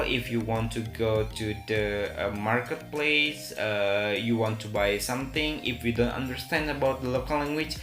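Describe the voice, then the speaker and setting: A man with an Indonesian accent, a native Indonesian speaker, talking through IELTS speaking answers with many hesitant fillers. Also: lowish voice. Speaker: English teacher. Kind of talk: IELTS speaking practice monologue. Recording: echoey room with faint music.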